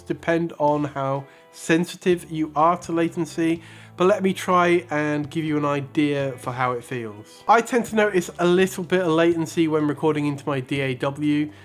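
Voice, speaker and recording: Man, slightly high pitch, scripted review style, measured presenter delivery, emphatic phrase openings, music behind. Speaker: host of a guitar pedal review channel. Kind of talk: scripted product review to viewers.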